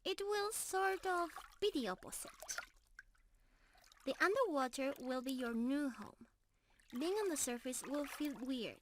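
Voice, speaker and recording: A woman's voice: high voice, a little hiss or hum. A woman playing a shy, sweet young mermaid in love, speaking earnestly to one listener in an ASMR romance roleplay. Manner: earnest, bashful, explaining gently to one listener.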